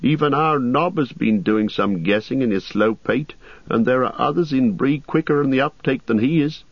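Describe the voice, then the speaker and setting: A man voicing a fussy, excitable middle-aged innkeeper who frets loudly in a raised voice. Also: no room sound, muffled recording, lightly acted. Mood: flustered. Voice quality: excitable, high and loud, quick to fret.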